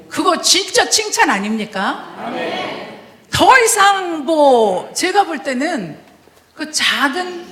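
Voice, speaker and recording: A woman from Korea with a Chungcheong accent, giving a lively testimony sermon as a guest preacher, full of fillers and laughs. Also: mid-range voice, roomy sound, slight background noise. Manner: animated, laughing, many fillers. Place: church sanctuary.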